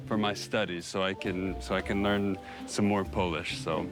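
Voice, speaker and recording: A man's voice: deep voice, little pitch movement, moderate pace. An American man in his thirties, telling of his life abroad in an easygoing, enthusiastic way with small restarts. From United States. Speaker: documentary subject, immigrant. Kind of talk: documentary voice-over and casual chat. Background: music.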